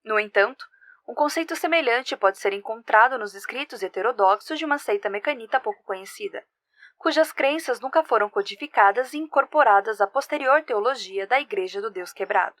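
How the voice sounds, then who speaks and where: A woman's voice: fairly high pitch, expressive, fairly quick, a bit bright, dry recording. A woman voicing a senior history researcher, reading scripted research notes in a formal, analytical manner. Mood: measured, analytical. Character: female senior history researcher, scholarly and measured. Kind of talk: scripted report narration.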